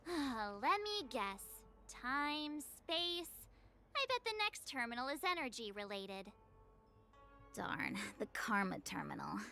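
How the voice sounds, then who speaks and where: A woman's voice: sits high, sing-song, slow, creaky, pushed and bright, exaggerated voice. A woman voicing a perky, eager and playful young companion in a video game. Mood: chatty, explaining. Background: game music.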